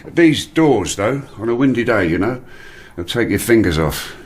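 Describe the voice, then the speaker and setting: An odd older neighbour in a radio play, with a low voice that swings about in pitch. He rambles, apologises and warns gloomily, over clearly audible background ambience. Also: big pitch swings, moderate pace, slight background noise. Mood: matter-of-fact, warning. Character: odd, grumbling old man next door with a hatchet. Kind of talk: naturalistic radio drama acting.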